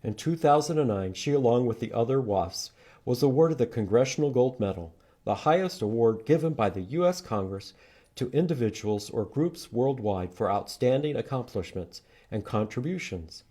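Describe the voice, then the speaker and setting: A man's voice: medium pitch, expressive, moderate pace, dark tone. A man hosting a children's storytime, reading aloud and teaching letters in short, simple phrases with sing-song emphasis for young listeners. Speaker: children's storytime host at a library.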